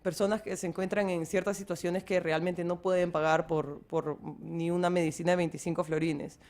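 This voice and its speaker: Lower-voiced woman explaining a clinic project. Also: level tone, fairly quick, darkish tone. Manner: fluent, explanatory, mixes English terms. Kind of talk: radio interview.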